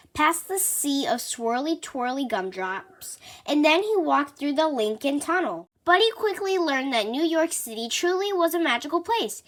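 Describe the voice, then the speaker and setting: A woman's voice: sits high, fairly bright tone. A woman reading a children's storybook aloud in a narrating style. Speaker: storybook reader.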